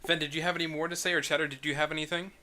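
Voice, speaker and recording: A man's voice: a little high, little pitch movement, very fast, pushed and bright. A man speaking casually in a group discussion. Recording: faint background noise, clicks.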